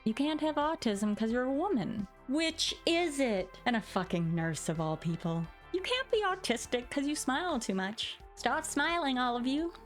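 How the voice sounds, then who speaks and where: Lively, sarcastic woman over faint music. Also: high-pitched, normal speed, few pauses. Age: middle-aged. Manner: theatrical, sarcastic. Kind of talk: dramatic, sarcastic reading of comments with asides. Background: music behind the voice.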